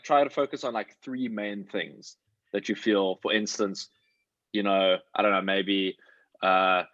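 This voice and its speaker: Low-voiced man rambling in casual chat. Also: a bit bright, no room sound, lo-fi sound. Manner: rambling, halting, thinks aloud. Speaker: actor giving career tips.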